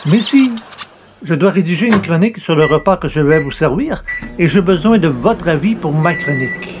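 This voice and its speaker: A man's voice: on the high side, animated, normal speed, noisy background, natural voice. A man playing a genial, bumbling newspaper columnist in a radio comedy, hesitant and coaxing. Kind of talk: old-time radio comedy acting.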